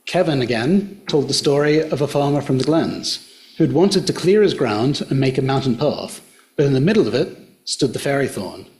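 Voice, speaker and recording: A middle-aged man with an Australian accent, giving a public talk in a hotel function room and retelling local folklore in a measured storyteller's way. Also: mid-range voice, animated, darkish tone, rough recording. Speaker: local history presenter.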